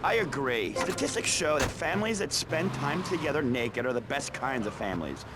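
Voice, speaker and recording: A man's voice: medium pitch, expressive, normal speed, close to an everyday voice. An actor playing an earnest, zealous male nudist guest on a satirical talk radio panel in a video game. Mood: eager, earnest. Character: earnest, eager flabby male nudist activist. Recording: loud street and car noise behind.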